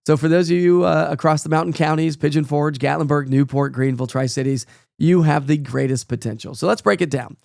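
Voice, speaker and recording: A weatherman talks through his forecast in a folksy, rambling way, leaning on plenty of fillers. His somewhat high voice has a gravelly, croaky edge from a cold that is taking his voice. He sounds close to the mic in a dry, dead room. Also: a little fast, darkish tone, no room sound. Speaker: meteorologist. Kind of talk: casual live weather forecast.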